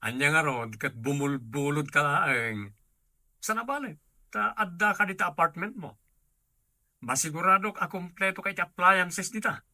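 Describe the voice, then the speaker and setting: Best friend, radio drama: very bright and cutting, mid pitch, sassy, blunt. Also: lively intonation, normal speed, no echo. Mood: teasing, blunt. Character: blunt, teasing close friend. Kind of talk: Ilocano radio drama acting.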